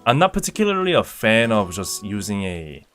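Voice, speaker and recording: Relaxed young man chatting over guitar. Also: on the low side, normal speed. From Indonesia. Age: young adult. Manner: relaxed, rambling with fillers and restarts. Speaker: streamer. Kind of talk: casual chat reading viewer comments. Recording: faint guitar music behind.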